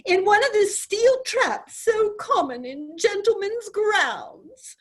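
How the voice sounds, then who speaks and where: Woman as a swooning young lady, very high voice, slow lines. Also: animated, fairly bright tone, full character voice. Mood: distressed. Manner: melodramatic, slow and exclaiming. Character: dramatic young lady in distress. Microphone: video call.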